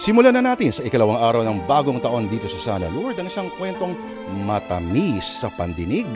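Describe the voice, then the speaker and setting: A man's voice: medium pitch, huge pitch swings, fairly quick, music in the background. A man with a Filipino accent hosting a radio drama, reading dramatic narration in a florid, theatrical way.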